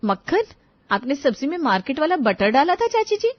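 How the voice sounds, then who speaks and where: Scheming young daughter-in-law for a cartoon family tale, played by a female narrator, high voice, dry recording. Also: very animated, normal speed, dry recording, dull audio. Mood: polite, puzzled. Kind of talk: cartoon story dialogue.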